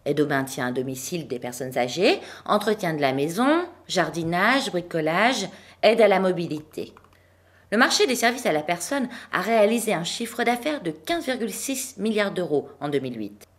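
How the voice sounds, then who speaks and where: A woman presenting a business news bulletin in a clear, measured read delivery and interviewing guests. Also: mid-range voice, big pitch swings. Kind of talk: scripted news narration.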